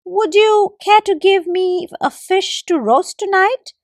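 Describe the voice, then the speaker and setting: A woman's voice: extremely high pitch, slow, dry recording, studio quality, voice pushed up, full character voice. A woman voicing a proud, greedy male squirrel hunter in a children's story, teasing and wheedling.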